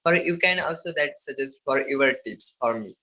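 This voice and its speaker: Bright, halting Indian-accented male learner. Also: mid-range voice, lively intonation. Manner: halting, non-fluent English with broken grammar. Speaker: English learner. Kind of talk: conversational speaking practice. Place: online video call.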